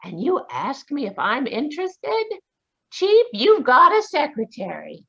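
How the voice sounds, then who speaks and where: A woman voicing a spirited, eager young woman who talks in a bright, exclamatory way in a live online radio drama. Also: high-pitched, huge pitch swings, unhurried, vocal fry, lo-fi sound, heavily acted. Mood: perky.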